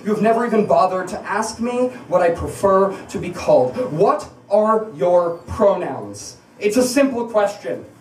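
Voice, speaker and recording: A woman's voice: mid-range voice, animated, slight background noise. Emphatic woman performing spoken word, echoey and distant. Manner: emphatic, rhetorical, confrontational, with deliberate repetition. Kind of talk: impassioned spoken-word reading to a live audience. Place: indoors at a bar, live event. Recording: echoey bar room, distant microphone.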